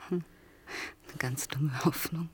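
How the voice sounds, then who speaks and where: Slow, hushed, low-voiced mother. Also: breathy edge, full character voice. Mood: intimate, wry. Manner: hesitant, hushed, breaking into whispers. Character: mother recalling deportation, quietly wry and intimate. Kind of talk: radio play storytelling.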